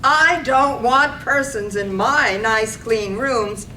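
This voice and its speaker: A woman's voice: slow pace, over a noisy crowd. A woman acting a fussy, prim, disapproving landlady in a radio play reading, refusing with clipped indignation. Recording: echoey, distant microphone, faint rumbling noise.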